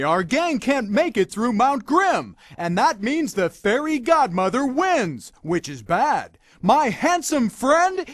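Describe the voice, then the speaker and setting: A wisecracking magic mirror narrating a storybook video game. The delivery swoops expressively up and down, theatrical and droll, full of wry asides. It is captured close and very dry. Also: male voice, sits high, no echo, heavily acted. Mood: melodramatic.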